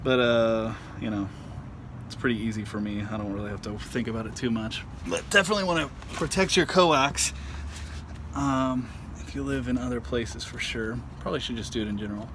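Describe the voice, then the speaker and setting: A man's voice: mid-range voice, fairly quick. A man giving a casual, unscripted do-it-yourself walkthrough in a laid-back, hesitant, self-effacing way, recorded outdoors around a pickup truck. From Southern California, lives there. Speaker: vlogger demonstrating a DIY truck install. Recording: noisy handheld outdoor vehicle recording. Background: clear outdoor and vehicle ambience.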